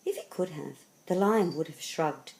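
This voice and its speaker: Female storybook narrator, slightly low register, dramatic and deliberate phrasing for young listeners. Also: lively intonation, creaky, a little mellow. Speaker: storybook reader. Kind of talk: expressive scripted children's story narration.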